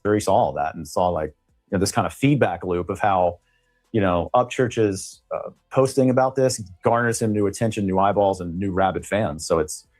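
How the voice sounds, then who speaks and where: A man's voice: deep voice, moderate pace, darkish tone, soft background music. A man speaking as an attorney being interviewed, recounting things in a casual, explanatory way. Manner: conversational, explanatory.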